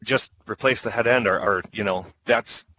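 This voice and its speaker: A man's voice: on the low side, moderate pace, bright, forceful tone, a little hiss or hum, rough recording. A man giving an informal product presentation online, talking in a conversational, enthusiastic salesman's way as a trainer.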